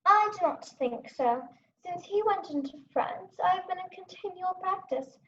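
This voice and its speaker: Resolute, vengeful young prince played by a young woman, high pitch, Shakespearean radio drama, dull sound with faint noise. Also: animated, moderate pace, a bit bright. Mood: brooding, resigned. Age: young adult. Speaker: student actor. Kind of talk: Shakespearean radio play acting. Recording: muffled, noisy home recording.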